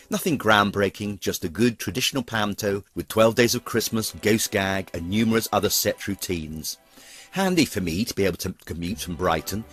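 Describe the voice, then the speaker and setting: A man's voice: on the low side, a bit bright. A middle-aged British man giving a scripted, fond and theatrical account of a life on stage, with ornate phrasing. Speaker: pantomime performer narrating a career retrospective. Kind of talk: scripted autobiographical narration.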